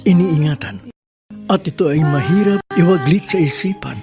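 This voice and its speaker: A man's voice: on the high side, moderate pace. A man hosting and narrating a scripted radio drama in a formal, dramatic manner. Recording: music under the voice. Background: music.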